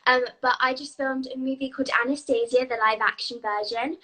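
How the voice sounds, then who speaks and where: A woman's voice: sits high, fairly even intonation, a little fast, pushed and bright, rough recording. A young British girl actress, bubbly and eager, answering questions in a live video interview. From England. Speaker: guest actress.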